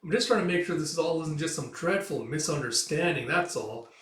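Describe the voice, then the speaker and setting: A man's voice: fairly high pitch, expressive, a little fast, a bit bright, pitched above the performer's own voice. Man playing sly, mocking Viking chief. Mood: mock puzzled. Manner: wry, taunting, falsely polite. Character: cunning, mocking middle-aged male Viking band leader. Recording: echoey room, distant from mic.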